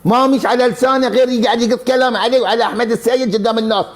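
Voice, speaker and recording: A man's voice: extremely high pitch, fairly even intonation, very fast, slight background noise. A man on a live stream complaining indignantly in a heated argument.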